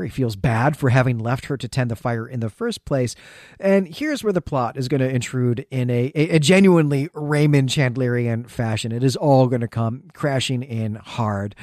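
A man's voice: medium pitch, darkish tone, no room sound, clean studio sound. A man hosting a podcast, recapping in a conversational way with dramatic emphasis as he goes. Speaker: podcast host.

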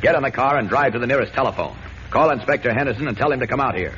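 A muffled old radio recording with light hiss carries a man acting a commanding male hero of a 1940s serial. His voice is medium in pitch, and he talks briskly and firmly, steady and reassuring. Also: close to an everyday voice. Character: steady, commanding male superhero posing as a reporter.

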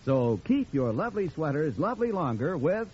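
A male announcer reads a 1940s radio commercial with polish and reassurance. His intonation swings widely and his voice is a touch high. The recording is dull and vintage. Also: very animated, moderate pace. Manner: reassuring, polished sales delivery. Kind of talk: 1940s radio advertisement read.

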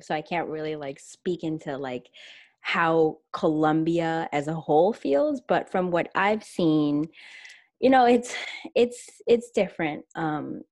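A woman's voice: low voice, darkish tone, dry recording, clean studio sound. A young woman talking casually and reflectively as a guest, leaning on fillers like "you know" and "like". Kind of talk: casual conversation.

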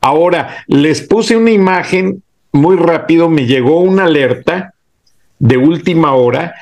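Explaining male host, slightly high voice. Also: a little slow, darkish tone. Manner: explanatory, informative. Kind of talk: casual political chat.

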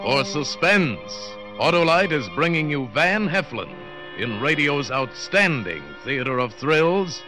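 A man's voice: a little high, very animated. A man announcing a radio thriller in a dramatic, theatrical 1940s style. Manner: measured, theatrical delivery. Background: music.

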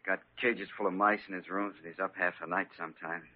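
Casting: grateful, hesitant American working man, radio play, lowish raspy voice, thin narrow-band sound. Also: fairly even intonation, brisk. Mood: humble, grateful. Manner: folksy, hesitant, ungrammatical. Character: humble working-class male patient, plain-spoken and grateful. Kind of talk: 1940s-50s radio drama acting. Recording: tinny narrow-band vintage radio recording.

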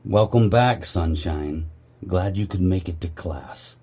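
Stern army commander played by a man, dry and authoritative, deep mellow voice, audio drama. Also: slow, husky, exaggerated voice. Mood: dry sarcasm. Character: stern, dry, duty-bound male army officer. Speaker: commanding officer character.

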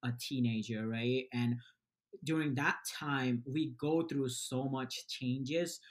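A man's voice: mid-range voice, fairly even intonation, slow. Man, from Bangladesh, nonprofit founder, earnest, filler-heavy, casual interview. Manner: earnest, many fillers and "right?" tags.

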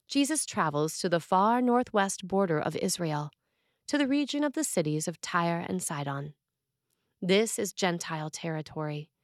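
A woman priest reading a scripted sermon in a measured, earnest way with dramatic emphasis on key phrases. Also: lowish voice, no echo, clean studio sound.

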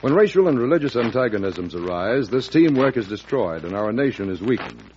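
Casting: male American 1940s radio announcer, earnest and formal, medium pitch, dull vintage sound. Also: big pitch swings, a little fast, a little mellow. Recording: muffled, noisy old radio recording.

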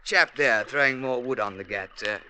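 An actor playing a pompous British army major in a radio drama, with a blustering, hesitant, clipped way of repeating himself. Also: male voice, mid-range voice, normal speed, sharp tone. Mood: self-assured, probing. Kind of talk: old-time radio drama acting. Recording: classic radio recording with faint noise.

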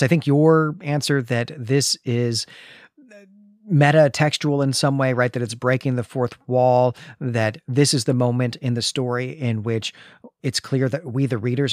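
A man's voice: medium pitch, fairly even intonation, normal speed, no echo, studio quality. A man hosting a literary discussion, explaining and recapping in an articulate way, often checking his points with a tag question. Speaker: podcast host.

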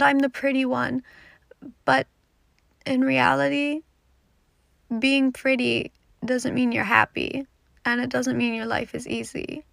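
A woman's voice: high-pitched, gravelly, no room sound, clean studio sound. A fourteen-year-old girl poet introducing and reciting her own poetry in an earnest, dramatic manner. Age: teenager, fourteen.

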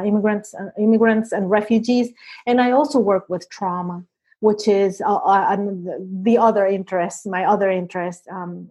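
A woman's voice: mid-range voice, darkish tone. A middle-aged woman from Venezuela with a Venezuelan Spanish accent, a psychologist answering interview questions thoughtfully, with many fillers and restarts. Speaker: psychologist guest.

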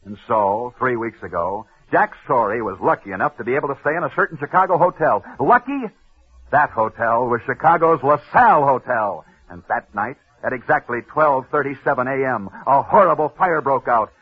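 A man's voice: medium pitch, husky. A man hosting a sports story show, telling tales and reading ads in a punchy, dramatic 1940s radio style. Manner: dramatic, punchy delivery with sudden drops. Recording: tinny old radio broadcast.

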